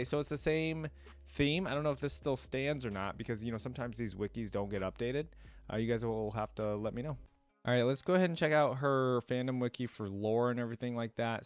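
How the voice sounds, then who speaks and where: Guy doing a reaction vid, medium pitch, chatty, musing out loud and reading stuff off a wiki. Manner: conversational, thinking aloud, often musing to viewers. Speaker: reaction video host. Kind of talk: casual reaction commentary, reading wiki text aloud.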